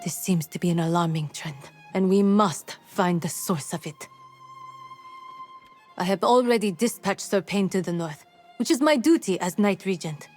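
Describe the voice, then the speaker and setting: Stately, low-voiced noblewoman knight regent played by an actress. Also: a little mellow, soft background music, close to an everyday voice. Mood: composed, grave. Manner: formal, stately, measured. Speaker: game character giving quests. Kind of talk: scripted video game dialogue.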